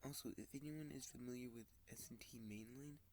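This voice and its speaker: A man with a stuffy, sniffly voice talks slowly and haltingly in a casual vlog, often dropping to a soft, whispery tone. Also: mid-range voice, a little hiss or hum. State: congested, sniffling. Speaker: model train channel host. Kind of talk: casual screen-recorded vlog.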